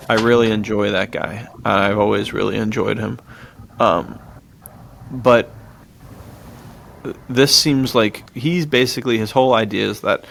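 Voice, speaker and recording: Gravelly-edged man chatting about films. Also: lowish voice, a bit bright, a little hiss or hum. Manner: enthusiastic, chuckles as he talks, slangy. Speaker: podcast co-host. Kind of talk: casual film discussion, retelling plots.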